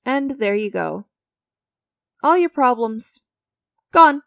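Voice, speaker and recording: Woman acting as creepy mysterious lady dealmaker, highish voice, smug and teasing, really dry close sound, asmr roleplay. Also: expressive, slow pace, creaky, fairly bright tone, dry recording, exaggerated voice. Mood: smug, playful. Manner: teasing, theatrical, smugly coaxing. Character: enigmatic, creepy female stranger striking sinister deals. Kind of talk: dramatic ASMR roleplay audio.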